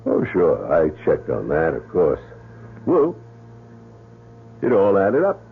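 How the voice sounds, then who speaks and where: Wry detective, husky and low, tinny old radio sound. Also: male voice, wildly swooping pitch, slow, full character voice. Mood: laid-back. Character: tough, wry male police detective. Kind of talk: 1970s radio drama acting.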